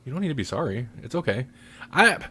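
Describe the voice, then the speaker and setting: A man's voice: medium pitch, very animated, fast, pushed and bright, husky. A man podcast host in casual, rambling chat, often correcting himself.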